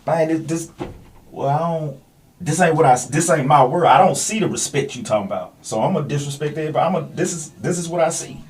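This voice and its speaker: A man's voice: fairly high pitch, fast, darkish tone, slight background noise. A middle-aged American man hosting a casual podcast chat, working his way into each point by rephrasing it in slang.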